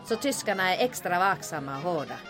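A woman's voice: lowish voice, animated, close to an everyday voice. An actress playing a shrewd, sardonic female bar owner in a comedic wartime audio drama, dry and teasing with a bossy streak. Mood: welcoming. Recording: music and crowd chatter behind voice. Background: bar crowd and music.

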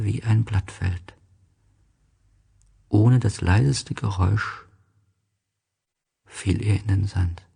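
A man with a fairly low, creaky voice tells a literary tale in the first person. His delivery is measured and reflective, tinged with melancholy, and the tone is rough and husky. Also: a little mellow. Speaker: narrator.

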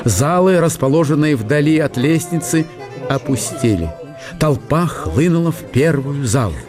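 A man's voice: on the high side, lively intonation, moderate pace, music in the background, lightly acted. A man narrating a historical radio play as dramatic literary storytelling.

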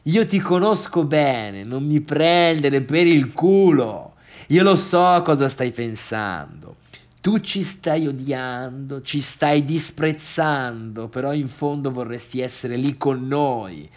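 A man playing a brash, foul-mouthed friend in a stage monologue, insistent and taunting, stretching phrases for emphasis. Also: fairly high pitch.

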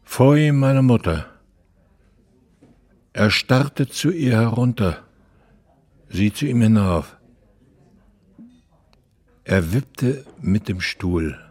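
An actor playing a lonely, embittered former opera star in his eighties, telling his story deliberately and theatrically, with a husky voice. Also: medium pitch, darkish tone. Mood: brooding, confessional. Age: 80s. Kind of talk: dramatic monologue, radio play.

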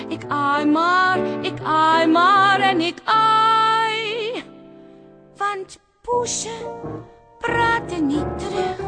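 A woman sings a comic, theatrical cabaret number in a high voice. The delivery is slow, with prominent music behind.

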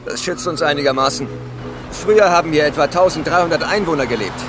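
A man acts out a calm island survivor chief in a radio drama. His fairly high male voice is measured and patronizing, used to being obeyed. Music plays clearly behind him. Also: natural voice. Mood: grave, explanatory. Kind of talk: German radio drama acting.

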